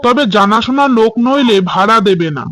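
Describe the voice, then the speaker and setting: A man's voice: extremely high pitch, lively intonation, brisk, fairly bright tone. A man playing an ordinary office clerk in colloquial, questioning dialogue for a cartoon story. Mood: persuasive. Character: two male office clerk friends house-hunting, conversing.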